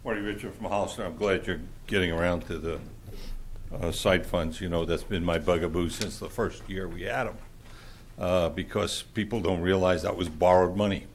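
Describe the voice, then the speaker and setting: A man with a loud voice giving a blunt, exasperated public comment at a council chamber podium, lecturing the council. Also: deep voice, fairly even intonation, normal speed, slight background noise.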